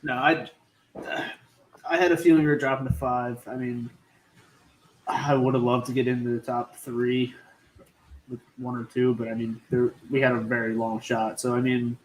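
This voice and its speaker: A man's voice: medium pitch, level tone, moderate pace, a little hiss or hum, poor audio. Easygoing male podcast co-host. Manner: easygoing, hedging with fillers. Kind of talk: casual sports podcast chat.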